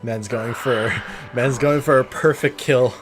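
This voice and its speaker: A man's voice: mid-range voice, fairly even intonation, unhurried. A man giving casual, joking, slangy reactions as he streams a video game. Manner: irreverent, slangy. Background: game music.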